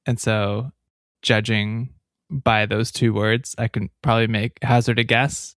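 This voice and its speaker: A man's voice: lowish voice, little pitch movement, unhurried, fairly bright tone, no echo, clean studio sound. A man co-hosting a podcast, rambling in casual chat with lots of fillers. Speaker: podcast co-host.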